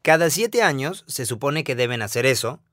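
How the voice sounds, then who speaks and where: A man's voice: medium pitch, expressive, normal speed, fairly bright tone, no room sound, close to an everyday voice. A man dubbing a podcast co-host who asks follow-up questions and also reads the closing thanks, recorded in a studio. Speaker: podcast co-host and outro announcer, Spanish dub. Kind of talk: dubbed conversational podcast.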